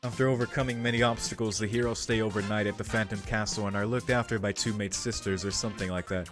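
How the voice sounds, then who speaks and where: Male game streamer, lowish voice, casual reading and commentary, soft music behind. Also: steady tone, fast.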